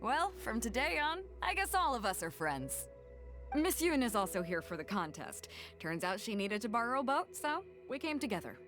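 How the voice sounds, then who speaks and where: Bold, lively sea captain. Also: female voice, a little high, moderate pace, music in the background. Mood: friendly. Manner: informal, confident, easygoing. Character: bold, breezy, hearty female sea captain. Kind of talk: video game voice acting.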